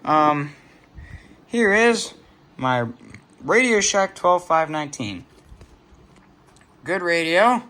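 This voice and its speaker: A man's voice: a little high, sing-song, slow, long pauses, sharp tone. A man giving a casual, unscripted show-and-tell as a hobbyist vlogger, rambling, trailing off and correcting himself as he goes. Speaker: hobbyist vlogger presenting a radio collection.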